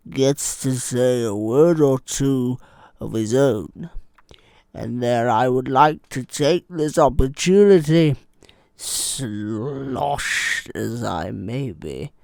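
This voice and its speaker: Man playing very slow, sloshed, pompous bride's father. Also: mid-range voice, gravelly, darkish tone, no echo, studio quality, heavily acted. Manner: pompous, drawn-out, sardonic. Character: tipsy, sardonic father of the bride giving a toast. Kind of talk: comic wedding speech monologue.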